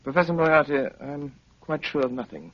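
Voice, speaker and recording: An actor playing a brilliant, composed gentleman detective in a 1930s radio drama, urbane, courteous and wryly commanding. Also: fairly high pitch, fairly even intonation, brisk, lightly acted. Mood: suave, controlled. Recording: muffled, crackly 1930s radio broadcast.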